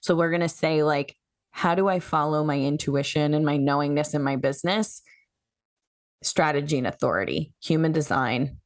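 A woman's voice: low-pitched, moderate pace, dry recording, studio quality. A woman who works as a human design coach, explaining her methods as a podcast guest in a coaching tone. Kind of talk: podcast interview.

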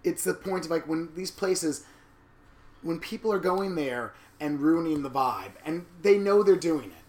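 A man's voice: a little high, a little slow. Outspoken man in his thirties. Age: 30s. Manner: blunt, animated and outspoken. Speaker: podcast co-host. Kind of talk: candid casual chat. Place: New York.

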